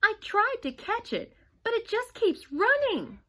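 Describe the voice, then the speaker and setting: A woman voicing a speedy, eager young girl in a storybook read-aloud, talking in an excited, loud way. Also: very high voice, a little slow, voice pushed up, exaggerated voice.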